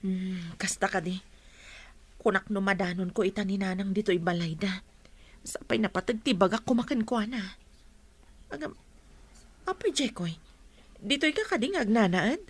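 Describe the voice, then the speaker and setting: A woman's voice: mid-range voice, normal speed, long pauses, breathy edge, close to an everyday voice. A woman playing a troubled, earnest mother with an Ilocano accent in a radio drama. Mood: inquiring. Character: troubled, pleading mother of a student.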